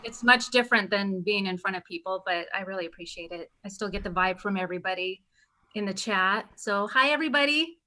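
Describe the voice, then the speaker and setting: A woman's voice: mid-range voice, a little fast, pushed and bright, poor audio. A woman in her forties, a guest performer chatting casually with the hosts on a live stream. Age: 40s.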